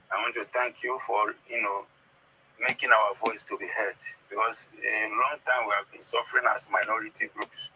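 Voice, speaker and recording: Male caller, southern Nigerian accent, Ika native speaker, very sharp forceful tone, halting explanations, faint line noise. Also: lowish voice. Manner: halting, repeats words, checks understanding. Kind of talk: explaining history and language on a call-in. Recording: noisy call audio.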